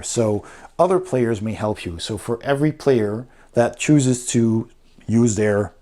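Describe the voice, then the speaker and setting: Mellow-voiced man casually explaining. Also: mid-range voice. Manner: conversational, explains step by step. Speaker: board game reviewer and channel host. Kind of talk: casual explanatory review voice-over.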